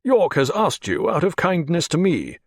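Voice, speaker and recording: A man voicing a calm, commanding naval captain in an audiobook. The figure speaks low and measured. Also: no echo, studio quality. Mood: persuasive.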